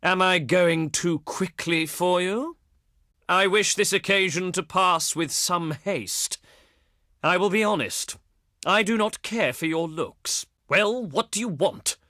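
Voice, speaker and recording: Male Greek envoy, game dialogue, declamatory, formal, sharp bright tone, very dry recording. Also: high voice, no room sound, heavily acted. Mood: curt, impatient. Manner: declamatory, ceremonious, deliberate phrasing. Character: ancient Greek male envoy, formal, honey-tongued and pious. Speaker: voice actor in a strategy game. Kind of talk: theatrical scripted game dialogue.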